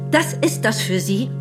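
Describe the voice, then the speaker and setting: An actress playing an indignant, principled woman in a radio play, speaking articulately and emphatically in a formal way. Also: fairly high pitch. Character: indignant, principled woman defending an absent employee.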